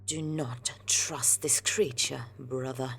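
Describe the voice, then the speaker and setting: Scornful dark elf warrior sister played by a woman, low-pitched, imperious and cutting, for audio drama. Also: fairly even intonation, slow pace, gravelly, full character voice. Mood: stern, accusing. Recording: music under the voice. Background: music.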